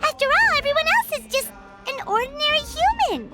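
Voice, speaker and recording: A woman voicing a tiny, excitable, childlike fairy companion who squeaks, gets indignant easily and talks of herself by name. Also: fairly quick, loud music behind the voice. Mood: curious. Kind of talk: game cutscene voice acting.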